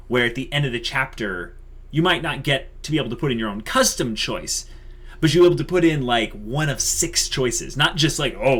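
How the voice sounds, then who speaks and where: A man's voice: mid-range voice, fairly bright tone. A man co-hosting a YouTube channel, chatting casually and unscripted in an enthusiastic, emphatic way, repeating himself for emphasis.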